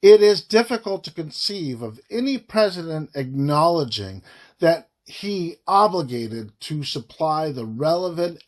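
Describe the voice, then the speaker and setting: Guy reading an official document out loud, really slow, kinda higher voice, stops between phrases. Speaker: reader of a government report. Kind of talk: reading a formal document aloud.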